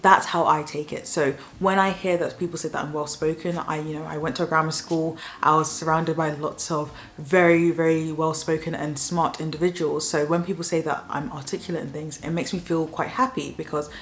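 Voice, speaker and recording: Frank young British woman with low voice. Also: little pitch movement, brisk, few pauses, slight background noise. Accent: Southern English. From south of England. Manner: frank, opinionated, conversational. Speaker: YouTuber. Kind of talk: opinion vlog.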